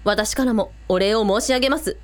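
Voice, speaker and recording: A woman's voice: mid-range voice, a little fast, no echo, close to an everyday voice. A woman playing a frank, confident older sister in a radio drama, blunt and casual but able to turn formal. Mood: grateful. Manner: blunt, casual, formal when addressing royalty.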